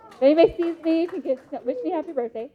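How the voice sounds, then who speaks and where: Higher-pitched American woman, muffled live recording. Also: quick pace. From Idaho, United States. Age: 30s. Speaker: motivational speaker. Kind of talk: interactive motivational talk to a live audience. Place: conference hall.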